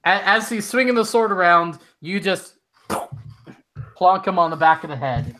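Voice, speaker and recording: A young man acting as game master, narrating a casual tabletop session in halting speech with many restarts. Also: high-pitched, expressive, bright, forceful tone.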